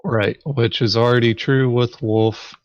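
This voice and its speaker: Slow talking guy playing a board game, short matter of fact comments, dry kinda muffled recording. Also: mid-range voice, steady tone, no room sound. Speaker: board game player on a playthrough show. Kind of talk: casual tabletop game chat.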